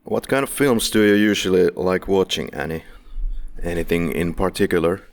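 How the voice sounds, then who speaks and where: A man's voice: low voice, normal speed, gravelly. A man hosting a casual film podcast, making playful, jokey introductions. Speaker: podcast host.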